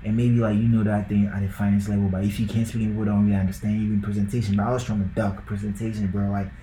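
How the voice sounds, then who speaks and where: A man's voice: on the low side, flat delivery, quick pace, dark tone, echoey room, slight background noise, low-quality recording. A young man from Ghana with a Ghanaian accent, talking loosely on a podcast and filling his talk with likes and bros. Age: young adult. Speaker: podcast co-host. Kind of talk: casual podcast chat.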